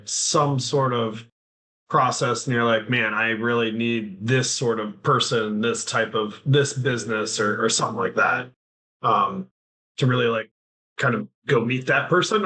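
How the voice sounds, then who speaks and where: A man's voice: medium pitch, a little slow, bright, forceful tone, low-quality recording. A man hosting a podcast interview, summing up the guest's points in a loose, rambling way with many fillers. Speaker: podcast host. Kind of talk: interview questions, casual.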